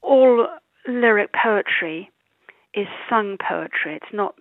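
A woman's voice: mid-range voice, expressive, slow, no echo. Female professor on a thin phone-like line. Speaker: history professor being interviewed. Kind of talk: spontaneous interview talk.

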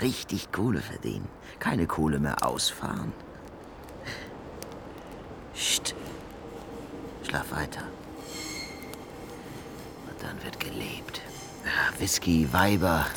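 A sly, greedy drifter in a radio crime play, with a creaky voice that swoops widely. He takes his time, crooning and muttering with scheming glee over faint background noise. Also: extremely low pitch, full character voice. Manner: slow, crooning, swinging into muttering and chuckles. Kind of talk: German radio crime drama acting.

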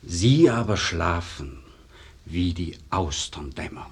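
Slow, lofty male aesthete. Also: sits low, animated, husky, exaggerated voice. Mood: lofty disdain. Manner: measured, lofty declamation. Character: disdainful, refined male disciple of a master painter. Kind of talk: 1950s German radio verse drama.

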